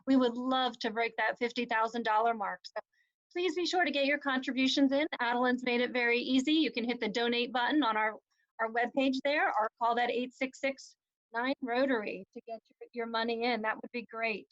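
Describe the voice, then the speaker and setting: A woman's voice: on the high side, steady tone, a little fast, fairly bright tone. A woman giving chatty club announcements on a virtual meeting and handing over to the next speaker. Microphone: video call. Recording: dull video-call audio.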